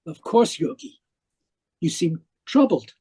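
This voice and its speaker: A man's voice: fairly high pitch, lively intonation, takes it slowly, a little mellow, breathy edge, exaggerated voice. An actor playing an intense, death-obsessed middle-aged scholar who tells his tale formally in an old-time radio drama. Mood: concerned.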